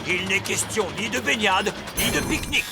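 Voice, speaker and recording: A man's voice: high-pitched, a little fast, gravelly, loud music behind the voice. A man voicing a wise old male elf in a cartoon, stern and proud, with an emphatic, officious delivery. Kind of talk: French cartoon dub acting.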